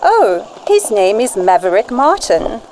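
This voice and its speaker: Woman as a kind, expressive young heroine. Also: on the high side, measured pace, very noisy. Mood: kind, composed. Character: kind, composed young woman, repentant villain's daughter. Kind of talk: amateur radio drama acting.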